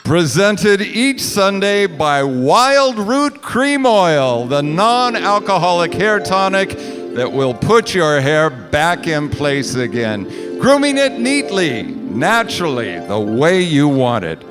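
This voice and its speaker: A man's voice: a little high, big pitch swings, slow. A man announcing in a theatrical, emphatic 1940s radio style, reading scripted introductions and advertising copy. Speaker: radio play director and announcer. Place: stage of a large hall. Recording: echoey stage hall, music behind.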